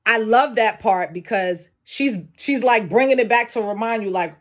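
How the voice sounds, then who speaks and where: A young adult woman with a deep, raspy voice, hosting a casual solo show where she breaks down rap lyrics with animated, profane emphasis. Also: moderate pace.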